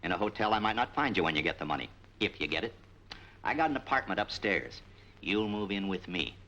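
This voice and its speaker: A sharp, forceful streetwise noir trader. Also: male voice, low-pitched, animated, a little fast, exaggerated voice. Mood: stubborn. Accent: American. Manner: blunt, gruff, slangy. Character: suspicious, tight-fisted small-time male trader, streetwise. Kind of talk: 1940s film noir acting. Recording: noisy old 1940s film soundtrack.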